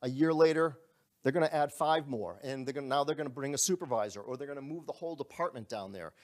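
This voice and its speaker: A man giving a live sales presentation to a group in a room, pitching in an upbeat, conversational way. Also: fast. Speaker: company presenter.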